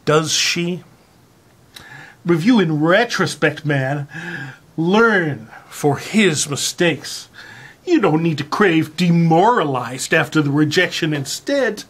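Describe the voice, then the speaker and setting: This is a slow-paced presenter with a deadpan, mock-serious delivery. The speech is halting and hesitant, as if each phrase is being weighed while reading aloud to listeners. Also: medium pitch, breathy edge.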